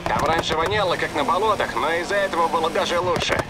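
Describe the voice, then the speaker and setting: A man's voice: a little high, quick pace, natural voice. A man acting a friendly, chatty street racing organizer in a dubbed video game, guiding a rookie. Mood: nostalgic, chatty. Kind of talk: video game dialogue, Russian dub. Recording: loud car engine noise behind voice.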